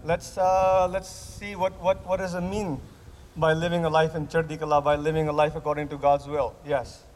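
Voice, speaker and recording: Male physician as lecturer, slightly high voice, halting delivery with restarts, long hall reverb, faint hiss. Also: little pitch movement, a little mellow. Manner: hesitant, many restarts, repeats audience answers. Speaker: physician giving a lecture at a camp. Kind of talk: interactive lecture with audience questions.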